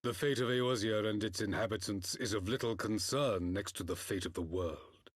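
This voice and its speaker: A man playing a solemn, imperious emperor in a fantasy game, speaking in cold, measured, formal phrases with a husky voice. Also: lowish voice, dry recording, studio quality. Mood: grave resolve. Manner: formal, measured, archaic grand diction. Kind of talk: scripted fantasy game cutscene acting.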